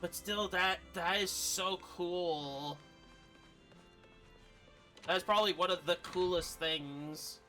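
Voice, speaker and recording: A man's voice: high-pitched, takes it slowly, long pauses, sharp tone, soft background music. A man doing game streamer commentary and reading game text aloud.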